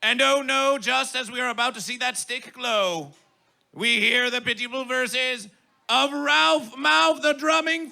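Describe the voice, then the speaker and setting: A man's voice: very high voice, gravelly, bright, forceful tone. A man working as a festival master of ceremonies, hyping the event in grandiose, repetitive, theatrical proclamations. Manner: grandiose, chanting, repetitive proclamations in carnival-barker fashion. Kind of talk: theatrical hype emcee announcing to a festival.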